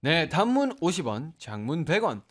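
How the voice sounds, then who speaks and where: A man's voice: fairly high pitch, big pitch swings, normal speed. A man hosting a radio show, announcing winners and games in an upbeat, playful manner, with faint music behind. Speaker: radio host. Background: faint background music.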